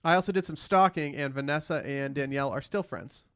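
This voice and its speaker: A man with a voice a little higher than average co-hosts a podcast. He chats casually, recapping a reality show in everyday conversational language. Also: a little fast, smooth. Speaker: podcast co-host.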